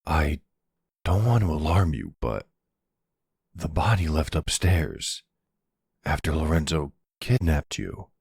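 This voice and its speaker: Male actor as devoted mobster partner for ASMR drama: husky, unhurried, halting, tender, weary older man. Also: lively intonation, darkish tone, dry recording, clean studio sound, full character voice. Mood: hesitant, grave. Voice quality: very deep, gravelly. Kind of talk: ASMR audio roleplay acting.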